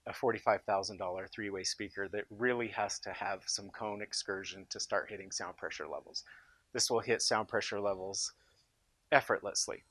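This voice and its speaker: Low-voiced American man, informal product pitch. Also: a bit bright, no room sound. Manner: enthusiastic, emphatic with superlatives. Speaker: company founder presenting his loudspeaker in a product demo.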